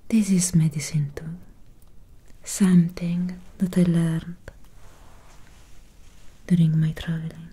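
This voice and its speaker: A young woman acts out a gentle Victorian vampire doctor in an ASMR roleplay. She whispers very breathily and extremely slowly, with long soft pauses. The tone is soothing and hypnotic, dark and relaxed. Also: lowish voice, husky, exaggerated voice. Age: young adult. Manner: slow, soothing, lingering pauses. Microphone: close ASMR microphone.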